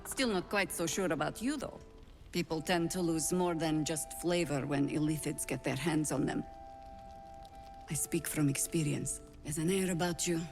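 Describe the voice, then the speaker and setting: An actress playing a battle-worn, wary elder druid leader in a video game, speaking in a measured, wry, grave way. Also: female voice, low voice, soft background music. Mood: suspicious, probing. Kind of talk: dramatic video game dialogue.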